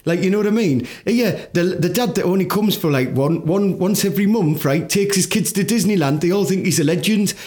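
A high-voiced man with a Teesside accent works as a comedy podcast co-host. He talks casually and rants in thick colloquial slang, swearing freely through crude banter. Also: brisk, few pauses, darkish tone.

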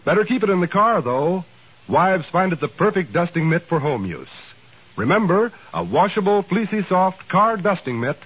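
A man with an American accent reading a scripted 1940s radio sales pitch, addressing listeners directly like a salesman. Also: big pitch swings, moderate pace, a bit bright, husky. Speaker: commercial announcer. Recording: dull vintage 1940s radio recording.

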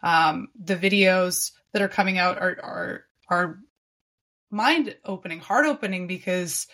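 A woman hosting a podcast talks slowly and thoughtfully, often pausing to find the next word. Her voice sits somewhat low for a woman. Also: a bit bright. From Canada. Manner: reflective, searching for words. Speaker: podcast host. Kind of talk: casual conversational interview.

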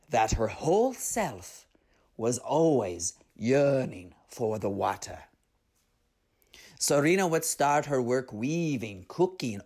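A middle-aged performer with a low feminine-sounding voice reading a children's tale expressively, with theatrical, drawn-out emphasis. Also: a little mellow, husky. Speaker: story reader, comedian.

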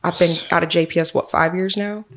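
A woman's voice: on the low side, steady tone, brisk, a bit bright. A young woman from Texas with a Texan accent, a police officer answering interview questions in an earnest, friendly way.

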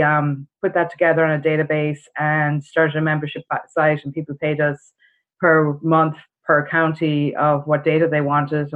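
A middle-aged woman from Ireland with an Irish accent, telling her story casually as a podcast guest. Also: deep voice. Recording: dull narrow-band call audio.

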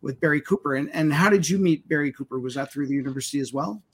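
A man's voice: on the high side, rapid-fire, husky. A man hosting a live webinar, introducing guests in a loose, friendly way with fillers. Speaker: webinar host.

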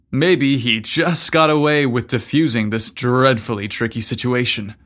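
A man narrating scripted fiction in an expressive storytelling manner. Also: medium pitch. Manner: expressive storytelling, acts out dialogue.

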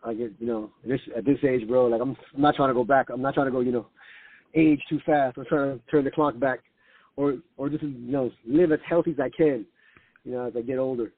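A man's voice: mid-range voice, steady tone, a little fast, phone-quality sound. A man in his late forties chatting casually as a comedian guest, informal and slangy, peppering his talk with "bro" and "man.". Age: late 40s. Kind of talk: casual conversational chat.

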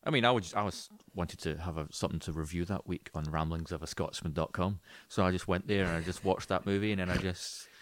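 A man's voice: sits low, quick pace, hardly any pauses, fairly bright tone, no room sound. A man co-hosting a casual podcast, giving his opinions and often acting out imagined reactions. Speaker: podcast co-host and film reviewer.

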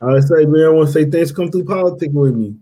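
A man's voice: on the high side, dark tone, breathy edge. A man hosting a podcast, asking his guest questions in casual, joking chat. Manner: joking, quick wrap-up questions. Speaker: podcast host, interviewer.

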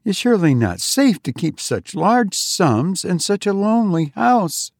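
A man's voice: high-pitched, very animated, unhurried, no room sound, studio quality, pitched above the performer's own voice, full character voice. A man voicing a timid, frail woman who speaks fearfully and pleadingly. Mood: anxious.

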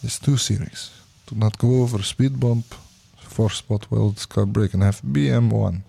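A man's voice: on the low side, a little slow, creaky, warm tone, breathy edge, full character voice. A man putting on a mock Russian accent as a joking car guy, in clipped broken English.

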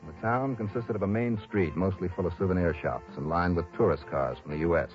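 Old radio detective guy a man acting, low voice, wry and clipped, music behind, dull sound. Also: fairly quick, lightly acted. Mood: dry, matter-of-fact. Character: wry, brisk freelance insurance investigator. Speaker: lead actor and narrator of a radio detective series. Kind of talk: 1960s radio drama acting, hardboiled narration.

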